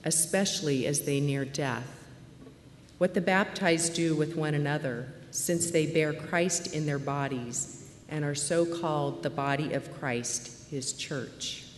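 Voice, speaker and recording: A woman in her forties speaks softly and earnestly as a deaconess addressing a conference. Her low voice rings through a large, echoey hall, with a faint murmur of room ambience behind her. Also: unhurried, a little hiss or hum. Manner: soft-spoken, earnest, with some fillers. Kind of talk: conference talk.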